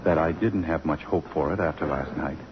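An actor playing an earnest, wistful middle-aged academic gentleman in 1950s radio theater style. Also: a bass voice, a little fast. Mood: tender, hopeful. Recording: muffled, hissy old radio broadcast.

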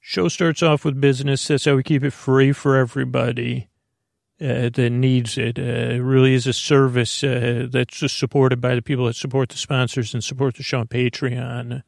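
A man with a gravelly, creaky voice at a middling pitch, recorded close and dry. He rambles like a sleep podcast host, halting and restarting as he drifts into low-key, silly tangents. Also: little pitch movement, dry recording. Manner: meandering, halting, often trailing off into tangents; mildly whimsical, low-key silliness. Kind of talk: rambling, unscripted bedtime sleep podcast intro.

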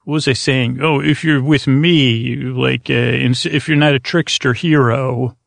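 A man with a rusty, gravelly voice hosts a sleep podcast, delivering a meandering, halting, comedic bedtime monologue that keeps interrupting itself. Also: medium pitch, steady tone, no room sound, studio quality. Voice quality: gravelly, rusty-sounding creak.

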